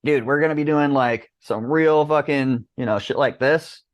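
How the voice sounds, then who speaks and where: A man in his thirties chatting casually and excitedly as a podcast guest, rambling with lots of slang and swearing. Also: fairly high pitch, normal speed, dry recording, clean studio sound. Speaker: podcast guest, disc golf business owner.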